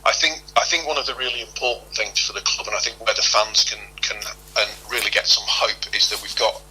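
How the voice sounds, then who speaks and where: A man's voice: lowish voice, fairly even intonation, a little fast, bright, forceful tone, slight background noise, low-quality recording. A middle-aged man answering interview questions as a football club official, explaining at length and repeating himself as he goes. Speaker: football club head of operations, interview guest.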